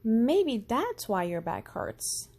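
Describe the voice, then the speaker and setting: An earnest little girl playing doctor in a children's storybook, voiced by a woman. She is caring and inquisitive, with a high, animated voice that swings up on her questions. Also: slow pace, slightly rough, exaggerated voice. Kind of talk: storybook character voice.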